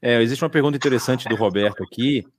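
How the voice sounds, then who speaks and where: A man's voice: mid-range voice, fast, breathy edge, dry recording. A man moderating a church teaching session and reading out questions. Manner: brief, reads out questions.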